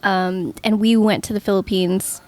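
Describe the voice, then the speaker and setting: A woman's voice: mid-range voice, steady tone, moderate pace, a little mellow. A woman from the United States, a podcast guest, sharing thoughtful and reflective answers in a casual panel chat.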